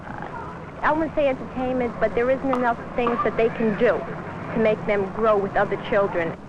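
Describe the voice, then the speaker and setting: A woman with a slightly high voice speaks candidly and tentatively, searching for words as a mother in an interview. The old film sound is dull and clearly noisy. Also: fairly even intonation, moderate pace.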